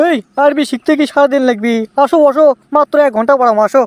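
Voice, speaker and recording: A man's voice: very high-pitched, fast. A man voicing an insistent, scolding village Arabic teacher with a Bogura Bangladeshi accent in a comic cartoon.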